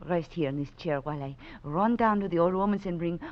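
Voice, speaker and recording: A woman acting a secretive French sweetheart in an old radio thriller. Her voice is lowish and coaxing, with an anxious theatrical edge, on a muffled vintage recording. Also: fairly quick, close to an everyday voice. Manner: theatrical, evasive. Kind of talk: 1940s-50s American radio drama acting.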